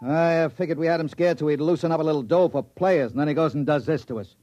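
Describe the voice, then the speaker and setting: A man acting a gruff, world-weary baseball team manager with slangy, grumbling talk in a 1950s radio drama. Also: on the high side, quick pace, warm tone, no room sound, lo-fi sound, close to an everyday voice. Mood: glum, resigned.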